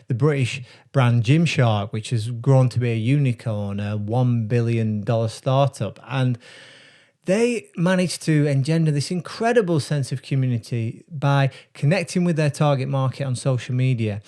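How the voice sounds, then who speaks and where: Male brand consultant, mid-range voice with a soft dark timbre, relaxed and thoughtful. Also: medium pitch. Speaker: brand strategist. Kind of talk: casual explanatory talk to camera.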